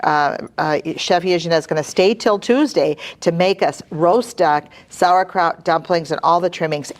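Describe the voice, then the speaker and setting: A woman hosting a casual interview, announcing events with enthusiasm and asking her guest questions. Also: fairly low pitch. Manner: enthusiastic, promotional. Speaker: museum president and host.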